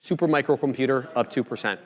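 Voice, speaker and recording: Brisk man reading stock moves. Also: mid-range voice. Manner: brisk, reads off figures. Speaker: co-host on a trading stream. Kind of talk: quick stock market update.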